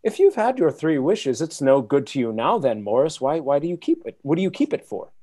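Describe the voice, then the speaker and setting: A genial old father played by an actor in a radio play, full of curiosity. The delivery is hearty and chatty, with pitch swinging very widely up and down. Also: sing-song, brisk, mellow. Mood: curious.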